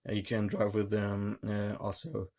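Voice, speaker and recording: A man reviewing a gadget hands-on, talking in a hesitant, halting way with many fillers. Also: lowish voice, completely flat delivery, darkish tone. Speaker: tech reviewer. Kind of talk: hands-on product demonstration.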